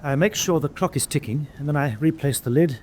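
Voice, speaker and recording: A man's voice: a little high, fairly quick, a little mellow, a little hiss or hum, lightly acted. A man playing a nervous, reluctant escaper in a war radio drama, speaking hesitantly. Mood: uneasy, careful. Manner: hesitant, unsure. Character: nervous, reluctant young POW escaper, sent to sabotage. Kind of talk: vintage-style war radio drama acting.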